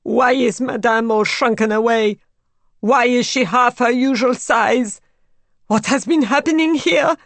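A woman's voice: on the high side, a little slow, no room sound, voice pushed up. Woman as a harsh, shaking, shocked maidservant. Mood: grim shock. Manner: insistent questioning. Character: shaken maidservant, blunt and grimly questioning. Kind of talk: dramatic audiobook reading.

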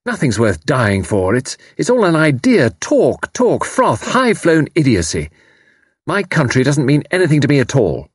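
A man's voice: lowish voice, expressive, moderate pace, long pauses, breathy edge, no room sound, studio quality. A man voicing a fierce, bitter, impetuous young woman in an audiobook, with intense, emphatic delivery. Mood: bitter, impassioned. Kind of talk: audiobook character dialogue.

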